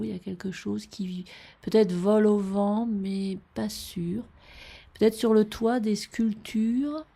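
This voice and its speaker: Woman, unscripted picture describer: husky, creaky voice, tentative delivery with long hesitations. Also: medium pitch, warm tone. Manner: halting, tentative, thinking aloud. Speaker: describer of old photographs. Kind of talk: unscripted spoken description of a photo.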